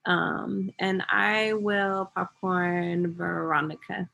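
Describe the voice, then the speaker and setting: Book club member, woman from the bay area, earnest, halting, a bit low. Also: level tone, vocal fry, sharp tone, lo-fi sound. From California Bay Area. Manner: earnest, halting, many fillers. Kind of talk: casual video call intro. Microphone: video call.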